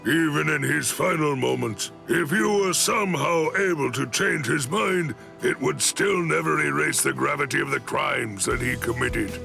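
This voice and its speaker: An actor playing a stern yet kindly old military head captain in an anime game, speaking in a measured, formal, gravelly voice. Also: male voice, on the high side, sharp tone, soft background music, heavily acted. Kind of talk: scripted anime game cutscene dialogue.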